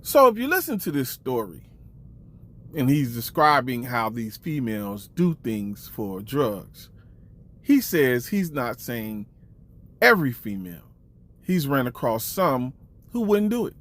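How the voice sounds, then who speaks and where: A man's voice: mid-range voice, very animated, a little slow, a bit bright. A man giving mocking online commentary, drawing out accusations and teasing rhetorical questions. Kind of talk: mocking commentary, addressing the camera.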